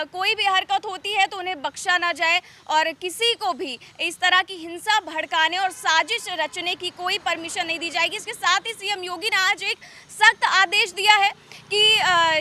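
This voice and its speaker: A woman giving a news report as a reporter, explaining in a halting way with frequent hesitations. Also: very high voice, bright, forceful tone.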